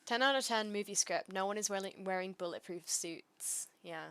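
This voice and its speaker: A woman streamer with a dry, understated delivery, reading aloud and chatting casually in a deadpan way. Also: mid-range voice, normal speed, clean tone.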